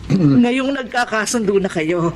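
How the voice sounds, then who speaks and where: An actress playing an expressive, emotional woman of a struggling household in a Tagalog radio drama. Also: mid-range voice, a little slow, music in the background, close to an everyday voice. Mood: moved, tearful.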